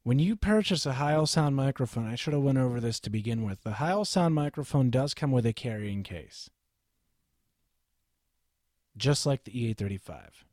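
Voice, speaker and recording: A man's voice: medium pitch, animated, moderate pace, darkish tone, no room sound. A man reviewing microphones in a small home studio, talking in an emphatic, lecturing, self-assured way while he switches between mics. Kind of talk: opinionated gear review to camera. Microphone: studio microphone, switched during the video.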